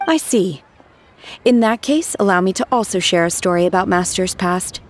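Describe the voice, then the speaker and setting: A woman playing a calm, earnest young disciple in a video game, speaking politely and matter-of-factly. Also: lowish voice, moderate pace, slight background noise, close to an everyday voice. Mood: measured. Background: background music.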